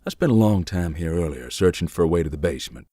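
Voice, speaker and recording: An actor playing a dry, sardonic man who believes in ghosts, speaking deadpan in game dialogue. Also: low-pitched, fast talker, no room sound.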